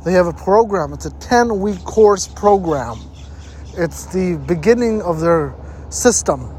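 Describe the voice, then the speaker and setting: A man with a noticeably high voice delivers motivational advice straight to his listeners. He leans on key points and repeats them for emphasis. The recording carries outdoor noise, with traffic and ambient sound around him. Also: animated, measured pace, a little mellow. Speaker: host giving health tips. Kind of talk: motivational advice talk to camera.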